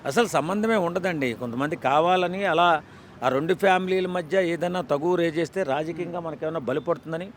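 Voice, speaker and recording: A man's voice: on the high side, fairly quick. A blunt, opinionated man giving his views in a street interview. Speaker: member of the public, film fan. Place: outdoors in an urban street. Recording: faint outdoor traffic noise.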